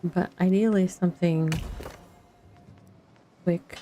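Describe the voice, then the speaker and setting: Woman game streamer, really slow n relaxed, mumbly musing to herself in bits, some music in the background. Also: on the low side. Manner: musing, talks to herself in short fragments. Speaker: streamer playing an online fantasy game. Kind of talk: casual gameplay chat, thinking aloud.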